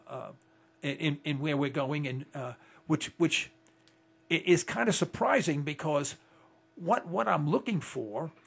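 A man's voice: on the high side, husky. A man hosting a talk radio show who rambles through his points with many restarts and fillers.

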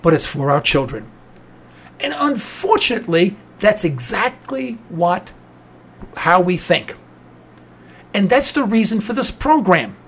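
A man's voice: high voice, sing-song, unhurried, long pauses, slightly rough. A man with a New York accent hosting a talk program, delivering a cynical political rant. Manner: cynical, ranting. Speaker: radio talk host.

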